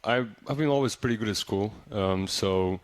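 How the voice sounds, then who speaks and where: A young man, a native Serbian speaker, talking in a relaxed interview as a college basketball player, with lots of fillers and restarts. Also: on the low side, moderate pace, no echo. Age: young adult. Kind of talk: casual interview.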